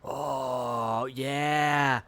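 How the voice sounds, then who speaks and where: A man's voice: mid-range voice, steady tone, very slow, fairly bright tone, smooth. A man streaming live, chatting casually and rambling with frequent swearing and laughter. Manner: profane, rambling, laughs often.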